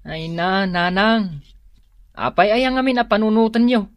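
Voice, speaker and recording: A woman acts out a younger sister in a radio drama. Her voice is mid-pitched and casual, chatty and reassuring. The recording is dry and close. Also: measured pace, dry recording, dull audio, lightly acted. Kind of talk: Ilocano radio drama acting.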